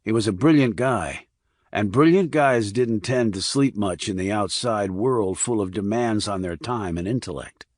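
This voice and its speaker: A man's voice: on the low side, normal speed, darkish tone, no room sound, studio quality. A man reading a crime novel as scripted audiobook narration.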